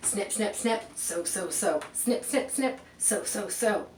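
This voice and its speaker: A woman's voice: medium pitch, slow pace, darkish tone. A woman reading a picture book aloud to children, expressively, with chatty asides and rhetorical questions. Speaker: children's storybook reader. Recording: roomy, distant mic with faint background noise.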